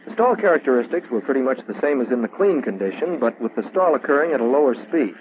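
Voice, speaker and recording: A man's voice: mid-range voice, expressive, fast talker, lightly acted. A man playing a confident young American military pilot, recounting practice flights as plain-spoken first-person narration for a 1940s training film. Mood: matter-of-fact. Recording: muffled, very noisy 1940s film soundtrack.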